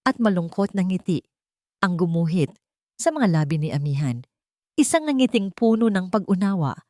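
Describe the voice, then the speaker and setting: Low-pitched Filipino woman narrating, dry sound. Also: a little mellow, no echo. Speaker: storyteller, narrator. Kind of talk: scripted dramatic narration of a romance story.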